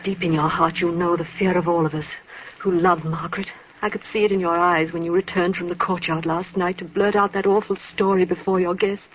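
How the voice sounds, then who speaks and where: A woman's voice: lowish voice, little pitch movement, brisk, close to an everyday voice. An actress playing an anxious, foreboding noblewoman aunt with a dramatic manner in an old radio horror drama. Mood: grave, accusing. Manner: dramatic, ominous. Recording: muffled, hissy old radio broadcast.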